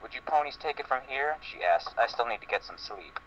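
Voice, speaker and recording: A man's voice: mid-range voice, animated, brisk, a bit bright. A man narrating fan fiction as a comedic dramatic reading, with dialogue acted out. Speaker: reader. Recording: lo-fi muffled audio, faint noise.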